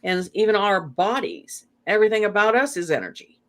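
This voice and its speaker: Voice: mid-range voice, lively intonation, a little fast, a bit bright. A guest in a spiritual conversation, talking in a meandering way with frequent "you know" fillers.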